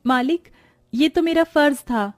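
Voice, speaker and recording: Cartoon maidservant, young woman, played by a female narrator: high, earnest, pleading, loyal and worried, dry sound over music. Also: measured pace, no echo. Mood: humble. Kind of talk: Hindi moral-story cartoon acting.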